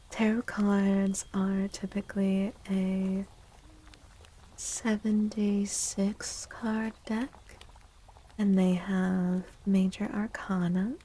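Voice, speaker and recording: A woman speaking softly and breathily in a gentle, soothing ASMR livestream style, often slipping toward a whisper as she hosts and reads tarot. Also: medium pitch, husky, low-quality recording. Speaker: livestream host and tarot reader. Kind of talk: soft-spoken ASMR livestream, chatting and reading tarot.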